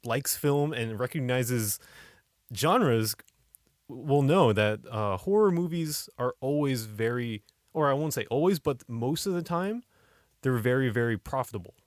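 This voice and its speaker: A man's voice: medium pitch, expressive, measured pace, no room sound, studio quality. A man co-hosting a podcast, discussing movies in a casual, conversational and opinionated way. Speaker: podcast co-host.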